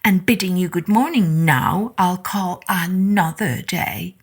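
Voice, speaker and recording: A woman's voice: on the low side, slow, slightly rough, exaggerated voice. A woman voicing a wary little female fly in a storybook reading, prim and polite. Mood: polite refusal.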